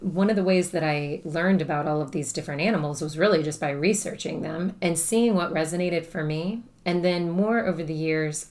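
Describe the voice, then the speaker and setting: A woman, a guest, chatting casually about spiritual practice with a voice that carries, filling her thoughts with ums and restarts. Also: low voice. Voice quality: projecting voice. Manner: chatty, thinks aloud with many fillers. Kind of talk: casual conversation.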